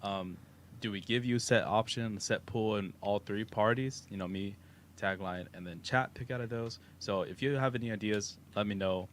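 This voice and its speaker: Low-voiced texan guy rambling on a stream, lots of ums, bit of hiss. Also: moderate pace. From Texas. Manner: relaxed, rambling, with fillers and laughs. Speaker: co-host of a crypto livestream. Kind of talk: casual livestream chat. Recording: faint background noise.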